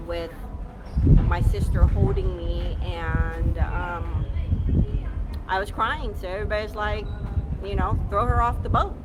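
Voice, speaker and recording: A woman from Thailand telling her personal story on a livestream, soft and hesitant with frequent pauses. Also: lowish voice, highs cut off. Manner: soft, hesitant, many ums. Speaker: guest, co-host. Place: outdoors by a hotel on a busy street. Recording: loud outdoor traffic noise.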